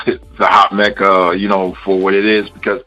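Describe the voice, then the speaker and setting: Male radio host from Queens, low and punchy, casual talker, lo-fi sound. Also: level tone, moderate pace, soft background music. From Queens, New York. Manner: casual, repeats phrases and says "you know" often. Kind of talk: radio interview.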